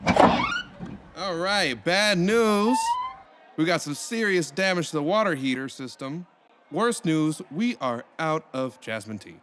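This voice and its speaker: A man's voice: on the high side, animated, slow pace, heavy background noise. A man playing a bubbly, punning counselor. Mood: playful. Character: cheerful, punny male counselor.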